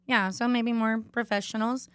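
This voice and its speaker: A woman's voice: on the high side, fairly even intonation, moderate pace, a bit bright, smooth, dry recording. A young woman co-hosting a podcast in casual chat, playful and giggly, with a lot of "like". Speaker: podcast co-host.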